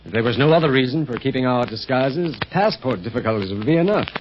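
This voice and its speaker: An actor playing a level-headed, protective secret agent uncle in a 1930s radio serial, matter-of-fact and gently chiding. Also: male voice, mid-range voice, expressive, fast talker, natural voice. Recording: muffled, noisy 1930s radio recording.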